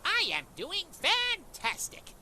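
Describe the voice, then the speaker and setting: A woman's voice: extremely high pitch, wildly swooping pitch, slow, bright, forceful tone, a little hiss or hum, full character voice. A woman voicing a flamboyant, boastful skeleton monster in an audio drama, with a theatrical delivery. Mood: cheerful, boastful.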